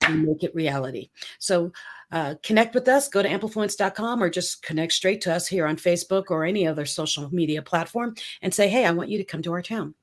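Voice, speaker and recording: A woman from the Philippines co-hosting a casual talk show, upbeat and energetic as she pitches ideas and chats. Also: fairly quick. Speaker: podcast co-host. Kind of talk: casual chat.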